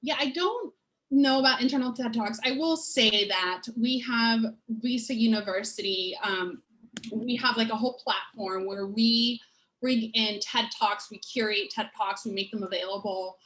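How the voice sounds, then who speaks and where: A woman panelist on a video call, explaining training programs in a measured, conversational way. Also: a little high, a bit bright, poor audio. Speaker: panelist, learning program lead. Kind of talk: panel discussion.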